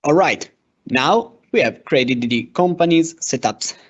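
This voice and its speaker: A man narrating a step-by-step software demo as a tutorial presenter, with a hesitant, halting delivery. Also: a little high, expressive. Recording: dull, lo-fi microphone sound.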